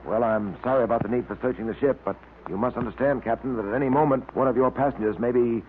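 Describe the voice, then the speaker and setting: A man's voice: medium pitch, fast talker, lightly acted. Old-time radio serial lead: male actor as firm, insistent detective, tinny narrow sound with light noise. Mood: businesslike. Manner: clipped, insistent, authoritative. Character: resolute, commanding police detective, adult man. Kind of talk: 1930s-40s radio drama acting. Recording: thin, noisy vintage radio recording.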